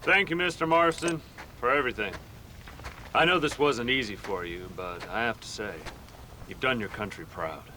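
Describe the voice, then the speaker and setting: Guy as a polite government agent in a game, crisp forceful tone, faint noise behind. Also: medium pitch, normal speed, close to an everyday voice, low-quality recording. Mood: grateful. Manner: polite, formal. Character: earnest, formal government agent. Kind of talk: video game dramatic acting.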